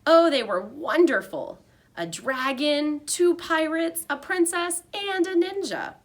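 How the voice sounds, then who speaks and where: A woman narrating a children's chapter book as a read-aloud, in an expressive storytelling style. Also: sits high, slow. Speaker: storybook reader.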